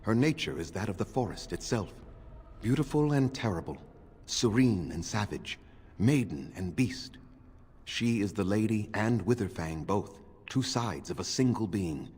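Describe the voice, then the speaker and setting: An actor voicing a stern, proud old male elf clan keeper in a video game, speaking in grave, measured, formal tones. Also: fairly low pitch, animated, darkish tone, breathy edge. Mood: solemn.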